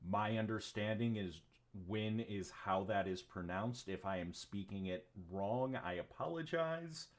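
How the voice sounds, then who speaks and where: A man's voice: lowish voice, measured pace. A man running a tabletop game as game master, rambling with many ums and casual swearing. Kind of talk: narrating a tabletop game on a livestream.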